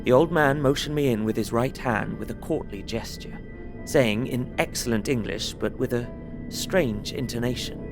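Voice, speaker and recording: A man playing an earnest young solicitor, narrating his travel diary in a measured, descriptive way for an audio drama. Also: medium pitch, lightly acted. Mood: awed, watchful. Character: young English solicitor recounting his journey, earnest, observant. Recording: prominent music under voice. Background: music.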